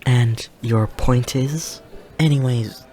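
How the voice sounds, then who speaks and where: A man's voice: medium pitch, slow pace, warm tone, lightly acted. A man playing an irritated demon reporter in a comedic audio drama, dry and curt with a partner.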